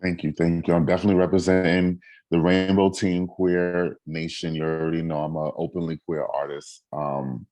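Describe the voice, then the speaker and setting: A man who is a featured music artist, talking in a relaxed and grateful way, with plenty of fillers. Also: low voice, normal speed, no echo. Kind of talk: casual video call chat.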